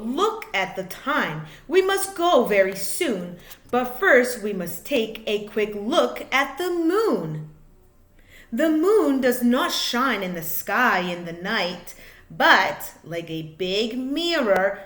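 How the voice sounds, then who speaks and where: A woman reads a children's storybook aloud in a fairly high, very animated voice. She goes phrase by phrase, clearly shaping it for young listeners. The recording has a roomy echo. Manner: deliberate, expressive, phrase-by-phrase delivery for young listeners. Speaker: teacher reading a children's book aloud.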